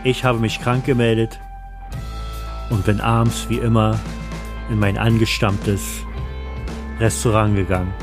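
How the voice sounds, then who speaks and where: A man's voice: lowish voice, little pitch movement, unhurried, pauses often. Man narrating an audio thriller over music. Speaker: narrator and voice actor of all parts. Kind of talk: scripted first-person thriller narration.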